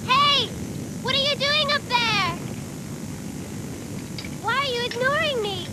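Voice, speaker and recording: A woman's voice: very high voice, slow pace, very noisy. A woman voicing an eager, curious young cyborg girl in an English anime dub, from an old tape. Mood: insistent.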